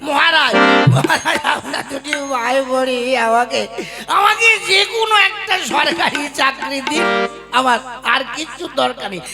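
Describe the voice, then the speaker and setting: Male actor as a high, rasping puppet-show beggar, whiny and cheeky. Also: expressive, normal speed, over loud music, heavily acted. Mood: pleading. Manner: whining, pleading, sing-song begging. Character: poor pleading male subject, jobless, cheeky. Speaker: jobless subject seeking a government job. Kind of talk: comic puppet show acting.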